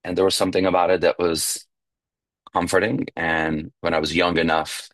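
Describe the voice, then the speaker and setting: A young man with an extremely low, deep voice shares his life story at a recovery meeting. He grew up speaking Yiddish in New York and talks in a reflective, halting way. The sound is dry and close. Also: little pitch movement, a bit bright, dry recording. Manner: reflective, halting, with fillers and restarts.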